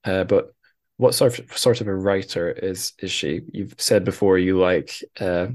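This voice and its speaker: A man hosting a casual interview, asking questions in a halting way and repeating words as he goes. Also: sits low, a little mellow. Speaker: podcast host, interviewer.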